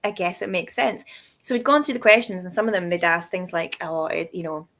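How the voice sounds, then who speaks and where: A woman hosting a relaxed chat, telling lively stories and laughing often. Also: fairly low pitch, brisk. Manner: chatty storyteller, laughs often. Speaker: whisky shop host. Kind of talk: casual chat.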